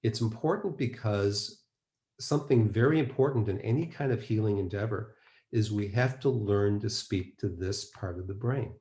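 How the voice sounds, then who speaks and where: A man's voice: fairly low pitch, normal speed, mellow. A man presenting a webinar in a conversational, explanatory way, posing rhetorical questions as he teaches. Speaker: presenter, mind-body medicine practitioner. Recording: roomy, echoing webinar audio.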